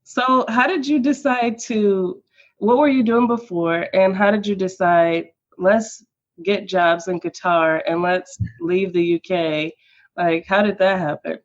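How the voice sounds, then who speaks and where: A woman's voice: mid-range voice, steady tone, a little slow. A woman interviewing a guest, upbeat and encouraging. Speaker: interviewer, channel host. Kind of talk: scripted intro, then casual interview. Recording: dull lo-fi audio.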